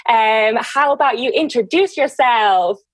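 A woman's voice: fairly high pitch, lively intonation, a little slow, no room sound. A woman hosting a podcast in a casual, conversational way, effusive and encouraging. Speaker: podcast host.

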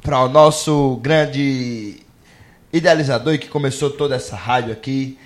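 A man's voice: medium pitch, moderate pace. A man co-hosting a church radio show, announcing segments with fairly formal phrasing.

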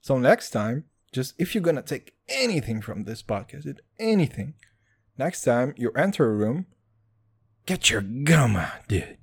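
A man's voice: medium pitch, measured pace, gravelly, husky. A man hosting a casual solo podcast, rambling and swearing as he drinks a beer and keeps calling his listeners dude. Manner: animated, profane, rambling, addresses listeners as dude. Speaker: podcast host.

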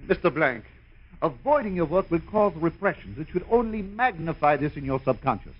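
A pompous male psychiatrist in a vintage radio comedy. He lectures earnestly in a highish voice with big swings of pitch. The recording is dull with age. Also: lively intonation, brisk, breathy edge. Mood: soothing, pompous. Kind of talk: 1950s old time radio comedy acting.

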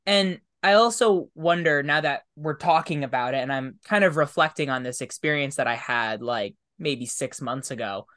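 The voice is a podcast host's, sitting at a middle pitch. The delivery is casual and conversational, with a reflective habit of thinking aloud as ideas are worked through. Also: moderate pace, hardly any pauses, fairly bright tone. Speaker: podcast host; tabletop game master. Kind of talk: conversational interview, casual chat.

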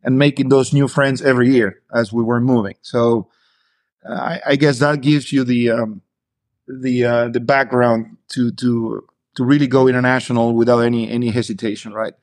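A man's voice: medium pitch, steady tone, normal speed, darkish tone. A middle-aged man from Argentina, answering as a podcast guest in a hesitant way, full of fillers and restarts. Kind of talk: casual interview talk.

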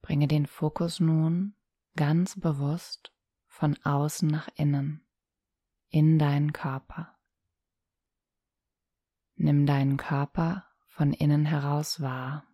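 A woman with a soft, breathy voice calmly guiding a relaxation meditation, soothing and instructional, with long pauses between phrases. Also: sits low, dry recording, clean studio sound. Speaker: yoga nidra guide.

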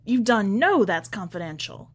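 A woman's voice: medium pitch, very animated, moderate pace, husky. A woman voicing an exasperated, sharply scolding ex-girlfriend with a thick homegrown accent in a story reading. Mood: vexed. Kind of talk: acted storybook dialogue.

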